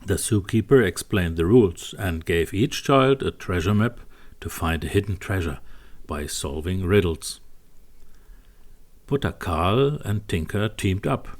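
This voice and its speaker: A man narrating a scripted bedtime story for young children in a simple, deliberate way. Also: low-pitched, animated.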